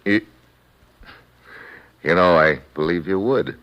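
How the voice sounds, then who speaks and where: A man's voice: deep voice, slow pace, no room sound, highs cut off. An American man playing a tough, wisecracking secret agent in a hard-boiled 1950s radio drama style, with a wry, clipped delivery. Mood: wary, wry. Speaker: lead actor and narrator. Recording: vintage radio recording with music.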